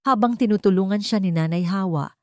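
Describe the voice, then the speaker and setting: A woman's voice: mid-range voice, fairly quick, a little mellow, no echo, clean studio sound. A woman with a Filipino accent narrating a scripted dramatic story.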